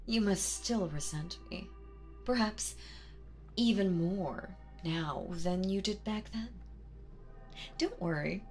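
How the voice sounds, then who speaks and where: A woman voicing a seductive, domineering demon queen in a dramatic audio roleplay, speaking theatrically and deliberately with teasing menace. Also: mid-range voice, a little mellow, faint music behind. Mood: possessive, teasing.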